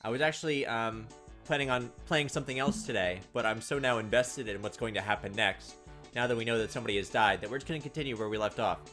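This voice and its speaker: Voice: medium pitch, fast, a bit bright. A gameplay host with a raw, scratchy throat, mixing casual, wry commentary with reading visual novel text aloud. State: sore, scratchy throat from winter weather. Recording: music behind the voice.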